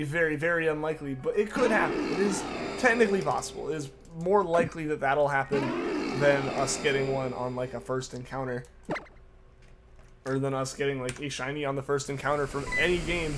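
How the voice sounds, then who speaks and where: A man's voice: fairly high pitch, noisy background. A young man streaming live, chatting casually with viewers in a rambling way full of fillers, with a slight lingering cough. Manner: rambling, filler-heavy, repeats himself. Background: music playing underneath.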